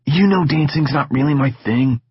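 A man's voice: medium pitch, moderate pace, husky, no room sound, dull audio, pitched above the performer's own voice. A man voicing a weary, reluctant teenage boy who answers curtly and dryly, in an audiobook reading. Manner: dry, reluctant, curt.

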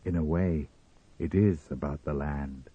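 A man voicing a cold, composed Martian for an audiobook story, speaking in a measured, formal manner. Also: rumbling low, slightly rough, no echo, narrow, tinny audio, voice pushed down, exaggerated voice. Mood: calm, grave. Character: calm, cold Martian in blue glass mask. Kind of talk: dramatised audiobook character reading.

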